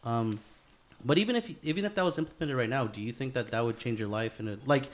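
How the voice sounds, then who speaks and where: A man's voice: medium pitch, animated, fast talker. A man hosting a podcast as casual chat, slangy and loose, swearing freely. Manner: slangy, calls listener bro and dude, swears freely. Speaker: podcast host.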